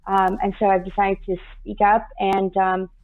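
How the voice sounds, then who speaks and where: Woman from the US, interview guest, earnest, pausing, gravelly edge, tinny phone-like line. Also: mid-range voice. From United States. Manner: earnest, steady, with frequent "um" pauses. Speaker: guest activist. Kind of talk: personal account in an interview. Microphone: video call.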